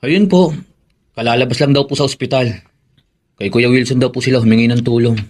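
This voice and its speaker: A man's voice: medium pitch, steady tone, a little fast, a little mellow, natural voice. Man acting a respectful, earnest grown son. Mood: concerned. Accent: Filipino. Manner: polite, hesitant. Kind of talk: Filipino radio drama acting.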